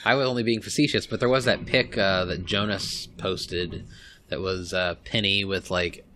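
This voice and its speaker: Man, bright tone, sarcastic, profane, casual podcast chat, mocking impressions. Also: low-pitched, fairly even intonation, moderate pace, slight background noise. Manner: sarcastic and profane, mocks others in put-on voices.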